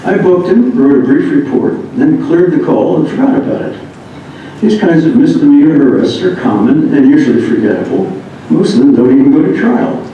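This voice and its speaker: A man's voice: fairly high pitch, lively intonation, normal speed. An American man reading humorous first-person anecdotes aloud in an amused manner. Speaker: reader of another writer's memoir. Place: echoey indoor room. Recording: echoey room, distant mic, dull, faint noise.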